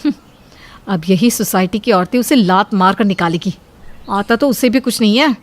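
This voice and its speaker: A woman's voice: medium pitch, moderate pace, natural voice. A woman voicing a jealous, scheming elder sister-in-law in a Hindi cartoon, spitefully muttering her plots. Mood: bitter.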